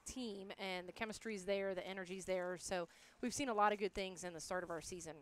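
A woman's voice: mid-range voice, fairly even intonation, a little fast, a bit bright. A woman in her thirties speaking as a coach in a postgame interview on a headset mic, upbeat and colloquial. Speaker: college softball head coach. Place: indoors in front of a backdrop.

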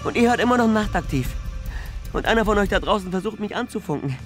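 An actor playing a cynical, resigned late-night radio host in a radio drama, talking in a sardonic, coarse, casual way. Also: male voice, high-pitched, animated, fast, music in the background. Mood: surprised, urgent. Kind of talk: German radio drama acting.

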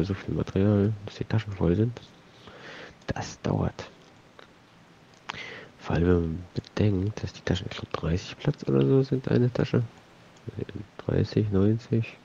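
A man's voice: deep voice, vocal fry, mellow, highs cut off, slight background noise. A man with a cold, casually rambling and muttering to himself while commenting on his gameplay. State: has a cold. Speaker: let's play host.

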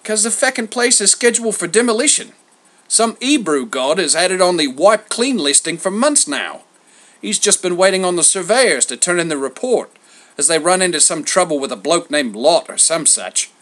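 A man voicing a cheeky, mischievous young messenger god in a storybook, brash and loud with slangy delivery. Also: sits high, animated, moderate pace, voice pushed up. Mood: brash, irreverent.

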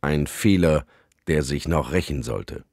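A grave male chronicler narrating a mock documentary for radio comedy. His voice is very deep and his delivery deadpan and portentous. The sound is dry, with no room on it. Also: a little slow, no echo, exaggerated voice.

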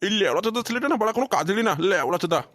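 Man acting a cranky, groaning old father, talking fast. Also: high-pitched, expressive, a bit bright, no room sound, studio quality. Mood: angry, threatening. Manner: foul-mouthed, groaning, scolding. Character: cranky old village father with an aching back. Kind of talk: crude adult comedy cartoon dubbing.

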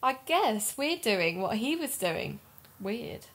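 A woman's voice: on the high side, very animated, slow pace, a bit bright, heavily acted. A woman playing an earnest, curious amateur investigator in audio drama dialogue, tentative and polite. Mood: earnest, inquiring.

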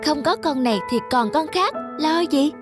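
Young cartoon child, high voice, earnest, simple phrasing, children's dub, music behind. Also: female voice, expressive, moderate pace. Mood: scheming. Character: young children, close friends, in a gentle moral tale. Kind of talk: Vietnamese children's cartoon dubbing.

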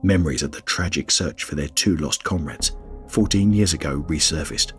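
A man reading a scripted documentary narration in a grave, measured way. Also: rumbling low. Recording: faint music bed under voice-over.

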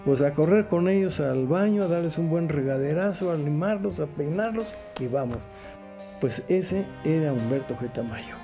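A man's voice: a little high, lively intonation, normal speed, warm tone, soft background music. A man with a Mexican accent recalling old colleagues in anecdotes during an interview.

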